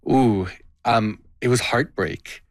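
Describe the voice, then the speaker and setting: A man in his thirties from Canada, a guest in an interview, speaking earnestly and openly about his past with frequent halting restarts. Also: medium pitch, no room sound, studio quality. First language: Gujarati. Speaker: interview guest, playwright and tabla player. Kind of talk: reflective personal interview.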